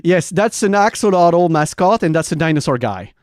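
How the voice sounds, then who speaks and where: A man rambling casually and informally to his livestream viewers, animated and loose in his wording. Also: fairly high pitch, normal speed, dry recording, clean studio sound. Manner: animated, laughs often. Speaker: variety streamer and host.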